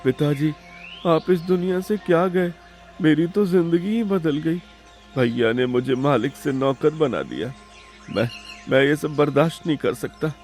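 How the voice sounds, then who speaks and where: Cartoon moral tale: male actor as timid young brother, plaintive and pampered, raised pitch, swooping intonation. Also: expressive, measured pace, a little mellow, soft background music. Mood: despairing. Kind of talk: Hindi animated moral story acting.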